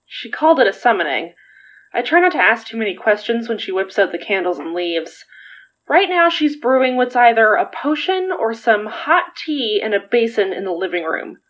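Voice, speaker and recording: A woman's voice: on the high side, big pitch swings, moderate pace, muffled recording. An actress playing an easygoing, bemused young woman with a casual, wry delivery, in a naturalistic audio drama.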